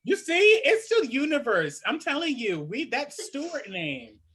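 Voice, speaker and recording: A young woman chatting casually as host, sassy and animated, laughing often. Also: high voice, measured pace. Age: young adult. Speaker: podcast host. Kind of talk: casual chat.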